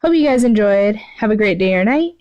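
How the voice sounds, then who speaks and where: A woman's voice: medium pitch, lively intonation, a little fast, muffled recording. A woman giving an amateur, self-deprecating story reading with casual chat, stumbling over words now and then. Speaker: fan fiction writer and reader, channel host.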